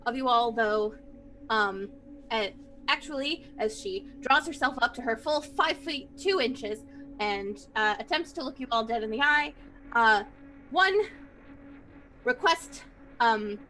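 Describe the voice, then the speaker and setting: A woman playing a tabletop roleplaying game, improvising in character in a halting, particular way. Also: high-pitched, sharp tone, rough recording. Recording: faint background noise.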